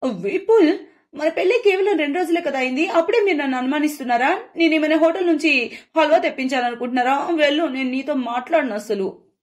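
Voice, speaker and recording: A woman's voice: high voice, quick pace, pitched above the performer's own voice, natural voice. A woman voicing a young newlywed daughter-in-law, proud and easily flustered, with an emphatic, girlish manner, in a story. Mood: offended.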